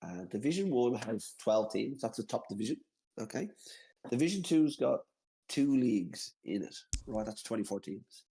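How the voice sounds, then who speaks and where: A man's voice: medium pitch, expressive, dark tone, low-quality recording. An Irish man in a casual chat, explaining a game in a rambling way and repeating himself often. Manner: rambling, repeats himself, quick to laugh at his slips. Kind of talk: casual video-call chat.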